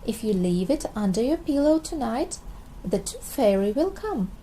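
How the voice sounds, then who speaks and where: Woman as a soothing, mellow mummy pig. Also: on the high side, big pitch swings, moderate pace, slight background noise. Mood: reassuring. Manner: soothing, explaining. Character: reassuring, patient mummy pig.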